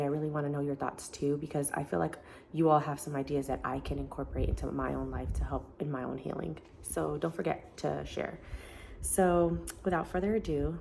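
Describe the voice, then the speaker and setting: A woman with a deep voice talking casually to camera in an earnest, encouraging way, with many fillers. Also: vocal fry, dark tone, slight background noise. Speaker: self-help vlogger. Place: family home, indoors.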